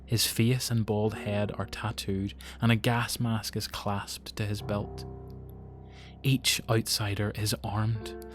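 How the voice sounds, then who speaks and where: A man's voice: on the low side, level tone, slow, music in the background. A man with a Northern Irish accent reading scripted narration for an audio drama.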